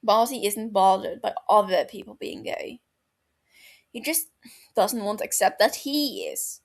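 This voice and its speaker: Creaky-voiced woman narrating fiction. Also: medium pitch, lively intonation, measured pace. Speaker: amateur audiobook narrator.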